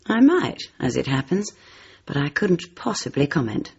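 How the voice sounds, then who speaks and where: A fond, teasing English secretary in a radio play. Her low voice is very husky and lilts widely, coy and poised. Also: very animated, moderate pace, a little mellow, lo-fi sound, full character voice. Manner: coy, playful, lilting. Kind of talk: radio drama acting.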